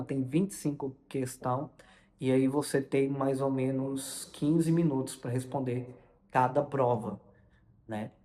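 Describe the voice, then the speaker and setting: A young man with a Brazilian Portuguese accent tells a story informally, in a halting way, often repeating and correcting his words. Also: mid-range voice, mellow. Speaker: vlogger recounting personal experience. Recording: long reverberant room echo.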